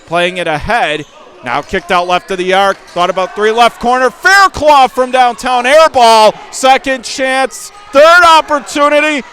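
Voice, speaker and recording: Male sports announcer, high-pitched, clipped and excitable live basketball play-by-play, with gym noise behind him. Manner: clipped running phrases, bursts of excitement.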